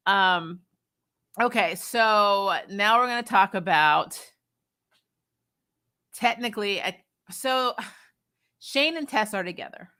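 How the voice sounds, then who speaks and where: A woman hosting a casual, opinionated video review, talking candidly to viewers and retelling things in an animated, mildly sweary way. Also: medium pitch, slow, long pauses. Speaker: video host reviewing a TV episode.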